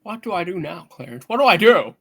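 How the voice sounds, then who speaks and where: A woman's voice: fairly low pitch, very animated, fairly quick, husky, exaggerated voice. A woman playing a distraught, desperate family man in a hammed-up radio play reading. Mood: anxious pleading.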